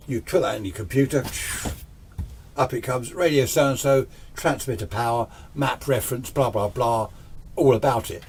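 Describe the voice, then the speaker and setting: A man's voice: mid-range voice, a little mellow, husky. A man in his sixties giving an informal, unscripted explainer as a radio hobbyist, rambling conversationally with little questions to himself. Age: 60s. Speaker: amateur radio hobbyist presenter.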